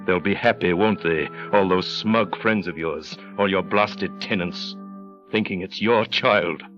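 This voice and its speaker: Brooding tormented husband, low voice, intense deliberate delivery, 1930s radio drama, muffled vintage broadcast. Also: male voice. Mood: bitter, anguished. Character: tormented, brooding aristocratic husband confessing to his young wife. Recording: muffled old radio broadcast, music underneath.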